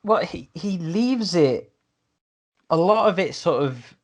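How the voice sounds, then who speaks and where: A man in a casual podcast discussion, talking in a halting, piecemeal way. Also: sits high, animated. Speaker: podcast panellist.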